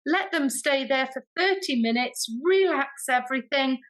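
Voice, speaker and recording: A woman with an English accent, a podcast guest telling stories in a chatty, expressive way and acting out quoted dialogue. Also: high-pitched, normal speed. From Britain. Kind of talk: animated storytelling in casual interview.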